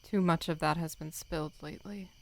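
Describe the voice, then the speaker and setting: Stern she-cat clan leader played by a woman, solemn and commanding, measured delivery for an animal audio drama. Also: deep voice, steady tone, vocal fry. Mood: sorrowful. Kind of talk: audiobook fandub acting.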